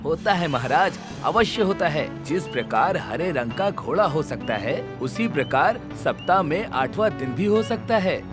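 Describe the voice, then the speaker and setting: A man voicing a clever, witty royal courtier in an animated story, playful and persuasive. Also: on the high side, a little slow, hardly any pauses. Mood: teasing. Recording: background music under voice.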